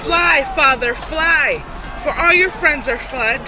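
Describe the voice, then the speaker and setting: A woman's voice: high-pitched, slow pace, a crowd in the background, rough recording. A woman playing an alarmed young prince in a Shakespearean audio drama, calling out urgently over music. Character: urgent young prince warning his father to flee. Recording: music under the voice.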